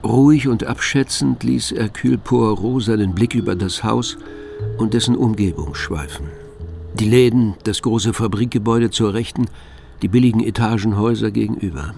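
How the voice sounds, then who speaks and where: A man narrating a radio drama from a script, with measured, literary storytelling. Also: fairly low pitch, gravelly. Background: music.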